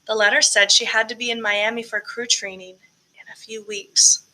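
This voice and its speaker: A woman's voice: a little high, little pitch movement, moderate pace, bright, forceful tone, poor audio. A woman reading a first-person story aloud with expression, as an audiobook narrator. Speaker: audiobook reader, choir director.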